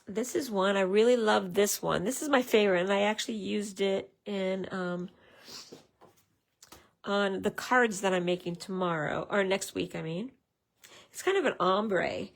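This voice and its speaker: A woman with a raspy voice from a head cold, chatting casually as she leads a live craft tutorial and greets her viewers. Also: mid-range voice, a little slow. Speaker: craft demonstrator.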